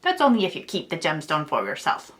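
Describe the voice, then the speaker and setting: Storybook sprite, acted by a woman: small grumpy male, dismissive grumbler, swooping lively intonation. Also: low-pitched, fairly quick, voice pushed down, heavily acted. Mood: pushy, dismissive.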